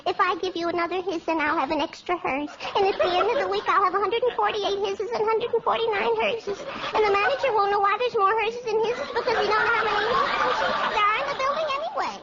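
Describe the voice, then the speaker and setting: A woman's voice: very high voice, fairly even intonation, fast. A woman playing a fussy, complaining, literal-minded maid who rambles in 1940s radio comedy style. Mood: fussy, puzzled. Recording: very noisy, dull old radio recording.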